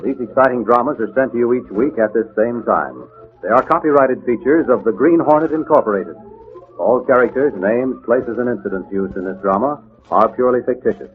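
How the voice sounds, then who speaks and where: A man's voice: mid-range voice, a little fast. A man making a formal scripted sign-off as a 1940s radio drama announcer. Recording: tinny old radio broadcast, music behind. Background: music.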